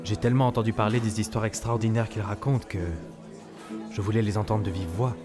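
An actor playing a polite, curious stranger at an inn, composed in a radio drama. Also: male voice, fairly low pitch, fairly even intonation, fairly quick, natural voice. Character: polite, curious male stranger seeking a storyteller. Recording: loud guitar music behind.

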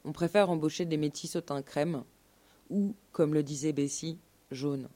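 A woman's voice: low voice, unhurried, dark tone. A woman narrating a scripted documentary story as part of a live radio show. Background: music.

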